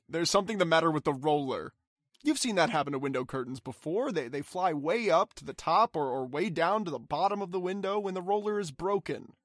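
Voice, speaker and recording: A man playing a skeptical husband in an old-time radio horror drama, halting and dismissive. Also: a little high, lively intonation, fairly bright tone, no room sound, clean studio sound. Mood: startled, rationalizing. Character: skeptical, dismissive husband trying to stay calm.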